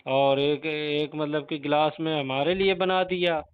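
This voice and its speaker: An actor as a hesitant male henna artist in a casual phone role-play. His voice is clear and somewhat high, with a plaintive, reluctant manner. The call audio is dull and lo-fi. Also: level tone, measured pace, close to an everyday voice. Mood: confiding.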